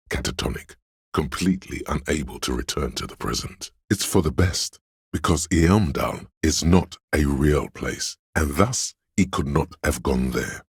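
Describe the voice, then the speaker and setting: A man voicing a pedantic inner voice of encyclopedic knowledge in a game, narrating lore in a measured, learned, didactic way. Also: very deep voice, husky, no echo, studio quality, exaggerated voice. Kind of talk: scripted narration, delivering trivia.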